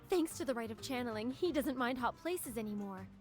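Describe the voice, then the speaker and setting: A woman's voice: on the high side, expressive, brisk, darkish tone, lightly acted. An actress voicing a proud young woman rider in a game, speaking brusquely and defensively. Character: proud young woman rider, gruff, hides her affection. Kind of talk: game voice acting. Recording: faint game music behind.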